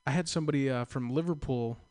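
A man's voice: medium pitch, quick pace, darkish tone. A man hosting a radio show, chatting casually in a rambling, easygoing way with lots of fillers. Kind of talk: casual chat. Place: radio studio.

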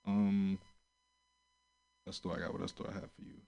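A man's voice: sits low, huge pitch swings, brisk, creaky, highs cut off. A man from Florida doing a crude, laid-back stand-up set, chuckling at his own jokes, standing back from the stage mic. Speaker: stand-up comedian. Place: comedy open mic room. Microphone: stage mic held back from the mouth.

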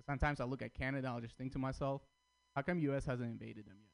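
A stand-up comedian working an open mic room. He is a man from Armenia who grew up in Russia. He delivers his punchlines bluntly, swearing freely, in a voice that sits at an ordinary middle pitch. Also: fairly even intonation, fast talker, vocal fry.

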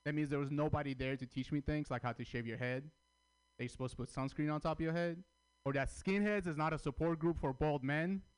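A man's voice: fairly high pitch, fairly quick, smooth, poor audio. Immigrant man doing crude stand-up. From Armenia. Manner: deadpan, crude punchlines. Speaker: stand-up comedian. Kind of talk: stand-up routine at open mic.

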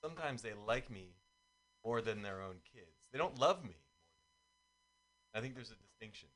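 A man's voice: mid-range voice, lively intonation, normal speed, fairly bright tone, rough recording. A man who is a guest in a radio booth, joking wryly in a casual chat. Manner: wry, joking.

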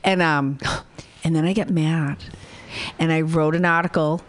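A woman's voice: deep voice, fairly even intonation, a little slow. A woman co-hosting a casual talk show, blunt and sassy as she shares personal stories. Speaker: spiritual psychology practitioner, co-host. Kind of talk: casual chat.

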